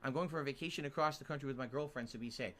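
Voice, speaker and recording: A man's voice: medium pitch, racing, fairly bright tone. A man from Connecticut with an American accent, hosting a casual livestream and chatting through viewer messages. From Connecticut, United States. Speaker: livestream host. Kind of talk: casual livestream chat, answering tips.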